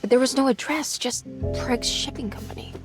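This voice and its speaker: A woman's voice: high voice, moderate pace, lightly acted. An actress playing an anxious but determined young woman in a video game cutscene, earnest and questioning. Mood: puzzled, earnest. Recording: background music behind the voice.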